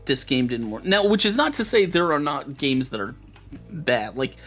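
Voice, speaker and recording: Rambling male streamer, hoarse voice. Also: medium pitch, animated, normal speed, fairly bright tone, soft background music. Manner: rambling, lots of restarts and fillers, swears casually. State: losing his voice. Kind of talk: casual live-stream chat.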